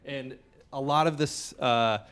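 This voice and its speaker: A man's voice: mid-range voice, steady tone, slow, a bit bright, smooth. A man, a trombonist, explaining ensemble playing at a workshop into a stage mic, thoughtful and hesitant with many fillers. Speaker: trombonist in the band. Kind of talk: workshop talk. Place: community center hall.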